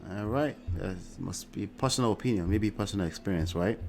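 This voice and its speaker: Nigerian man hosting a stream, fairly low voice. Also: a little fast, creaky. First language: Yoruba. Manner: conversational, with frequent "uh" and tag questions like "right?". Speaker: show host. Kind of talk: livestream commentary reading viewer comments.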